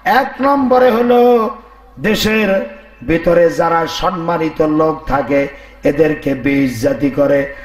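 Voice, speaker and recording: A man with a hoarse, strained voice preaches an Islamic sermon in a declamatory, rhetorical way to a gathering. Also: on the high side, slow pace. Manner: declamatory, rhetorical, calling on listeners to respond. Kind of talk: fiery sermon to a live congregation. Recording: echoey PA sound, faint crowd.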